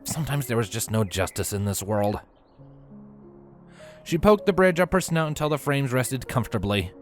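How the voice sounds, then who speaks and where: A man narrating a story from a script in an expressive, animated way with theatrical emphasis. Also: medium pitch, normal speed, faint music behind. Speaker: audiobook-style narrator of fan fiction.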